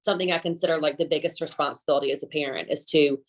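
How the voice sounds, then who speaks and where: A woman's voice: low-pitched, fairly even intonation, rapid-fire. A woman with a Southern US accent, chatting casually as an interview guest, talkative and emphatic about her views. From Alabama, southern US. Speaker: guest, chef. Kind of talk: casual podcast conversation.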